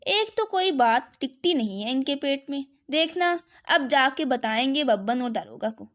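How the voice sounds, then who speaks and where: A woman's voice: high-pitched, animated, normal speed, fairly bright tone. A woman voicing a kindly, knowing old village grandfather in a children's cartoon story. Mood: amused. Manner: good-humoured, knowing.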